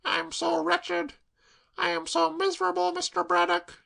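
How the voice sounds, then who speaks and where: A reader voicing a distraught young woman who sobs and wails in a high, breathless voice. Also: completely flat delivery, normal speed, creaky, fairly bright tone, exaggerated voice. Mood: tearful. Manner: sobbing, high-pitched and breathless.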